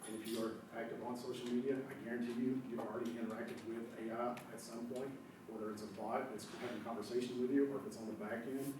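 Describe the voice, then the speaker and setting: Brief: hoarse male speaker, quick rambling delivery, distant mic in a big echoing room with background noise. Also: mid-range voice, level tone. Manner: rambling, many fillers and self-corrections. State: hoarse from a cold. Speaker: company founder giving a talk. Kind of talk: casual conference talk. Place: large echoey lecture room.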